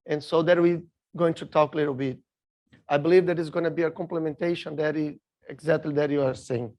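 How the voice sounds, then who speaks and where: A middle-aged man with a Brazilian Portuguese accent, giving a halting conference talk full of filler sounds, a Portuguese speaker working in English. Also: a little high, darkish tone. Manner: halting, many filler sounds, apologetic about his English. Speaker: industry speaker at a rail seminar. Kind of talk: conference presentation with slides.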